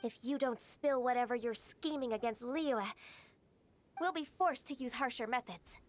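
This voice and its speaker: A woman's voice: a little high, normal speed, natural voice. An actress voicing a polite, composed young woman official in a video game. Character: dutiful young woman official, calm and formal.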